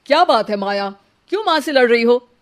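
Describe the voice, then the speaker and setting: A woman's voice: a little high, expressive, brisk, pitched below the performer's own voice, lightly acted. A woman voicing a weary young husband in a cartoon, pleading and mildly exasperated between wife and mother. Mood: bewildered, reproachful. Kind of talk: Hindi cartoon family drama.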